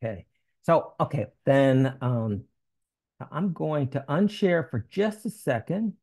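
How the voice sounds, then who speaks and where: An American man in his sixties teaching informally in an online webinar, speaking in a halting, conversational way. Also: on the high side, animated, darkish tone. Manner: halting, conversational, frequent you-knows. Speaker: options trading meetup presenter. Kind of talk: informal webinar teaching with slides and screen share. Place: home office room. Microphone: headset.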